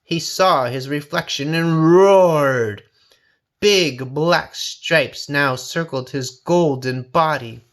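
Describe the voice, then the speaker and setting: A man reading a children's story aloud as an expressive narrator. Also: fairly high pitch, slow pace. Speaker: story reader.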